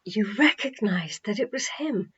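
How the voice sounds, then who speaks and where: A woman voicing an amazed woman in a storybook, speaking in a breathy, wondering way. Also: medium pitch, lively intonation, a little slow, a bit bright, exaggerated voice. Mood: breathless wonder. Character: amazed woman listener, hushed and full of wonder. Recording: slightly dull home recording.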